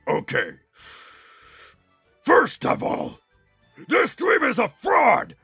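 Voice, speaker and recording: A boastful cartoon monster king with a raspy, forceful growl. He is hot-tempered and vain, blustering out threats with wildly swinging pitch, recorded dry and close. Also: wildly swooping pitch, extremely slow, no echo, faint music behind, heavily acted. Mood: furious. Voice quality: gruff, gravelly, raspy. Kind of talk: cartoon fan dub comedy banter.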